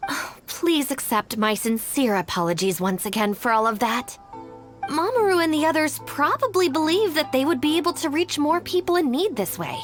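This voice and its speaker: Give the impression of a responsible young woman in a video game. Her voice is high and very expressive, with polite, formal phrasing that sets out to persuade. Music plays clearly behind her. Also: moderate pace. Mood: apologetic, formal. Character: poised young woman, diplomatic deputy of a gang, sternly responsible.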